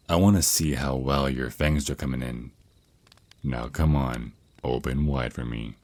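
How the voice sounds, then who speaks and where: Very deep vampire naga boyfriend, acted by a man. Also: a little mellow, dry recording, full character voice. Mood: coaxing, caring. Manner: hesitant stammers, drawn-out s sounds, slightly formal wording. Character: affectionate, protective male vampire naga boyfriend, mentoring teasingly. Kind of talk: ASMR roleplay, intimate one-sided scripted dialogue.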